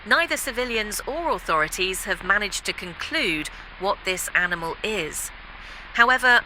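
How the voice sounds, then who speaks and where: A woman's voice: medium pitch, noisy background, lightly acted. A woman playing a composed local TV news anchor, reading a scripted report.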